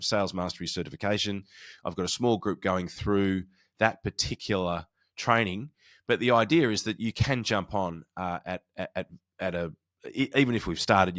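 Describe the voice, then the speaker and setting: A man's voice: low voice, fairly bright tone, no echo, studio quality. A man speaking as a podcast host and coach, explaining and advising in an informal, conversational solo style with often restarted phrases.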